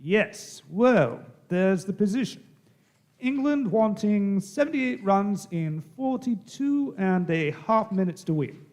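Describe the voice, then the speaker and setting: An unflappable cricket commentator played by a man in a radio play. The voice is high and swings widely in pitch, genteel and chatty throughout. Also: expressive, takes it slowly, darkish tone. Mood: unruffled. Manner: genteel, unruffled.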